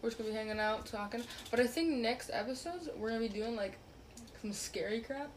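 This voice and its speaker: A woman's voice: fairly high pitch, brisk, slight background noise, rough recording. A young woman hosting a casual online podcast, talking with strangers in a chatty, playful way full of fillers. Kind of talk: casual chat.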